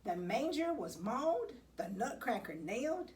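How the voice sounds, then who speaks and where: A woman reading a children's picture book aloud, with dramatic, expressive delivery and deliberate pauses. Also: fairly high pitch. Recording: distant mic, faint background noise.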